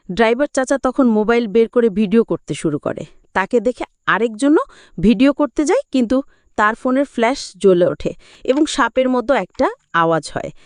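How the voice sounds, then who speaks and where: A woman's voice: on the high side, no echo, clean studio sound. A woman reading a scripted, dramatic retelling of a film as a movie explainer. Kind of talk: scripted dramatic story narration.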